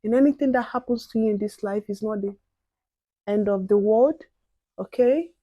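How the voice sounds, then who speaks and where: A soft-spoken young woman chatting casually to viewers while doing her makeup. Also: medium pitch, moderate pace, no room sound. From outside the UK. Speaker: beauty and advice vlogger.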